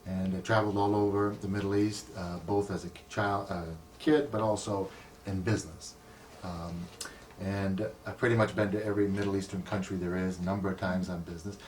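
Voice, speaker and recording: Older guy, low voice, kinda far from the mic, slow thoughtful storytelling with lots of ums. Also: flat delivery, slight background noise. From United States. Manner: thoughtful, with frequent ums and self-corrections. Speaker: community association president. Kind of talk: recalled life story in an interview.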